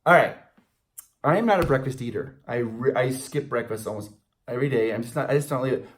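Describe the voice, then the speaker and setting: A man's voice: medium pitch, fast talker. An American man in his forties in casual, joking banter with his partner as co-host of a fan video. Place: indoors in front of a logo backdrop.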